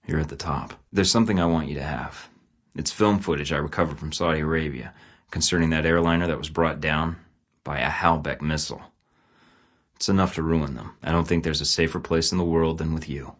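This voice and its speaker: A man's voice: rumbling low, a bit bright. An actor playing a terse, guarded male secret agent in a video game, with dry, clipped, sardonic delivery.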